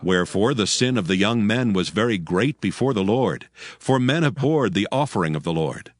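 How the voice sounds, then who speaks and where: A man's voice: lowish voice, expressive, normal speed. A man narrating a dramatized audio Bible from a script, with music behind him. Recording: faint music under the voice.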